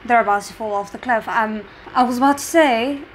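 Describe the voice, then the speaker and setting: Female reaction host, higher-pitched voice, off-the-cuff commentary on a show, noticeably noisy recording. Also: a little fast. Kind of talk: spontaneous reaction commentary on a drama series. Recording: clearly noisy background.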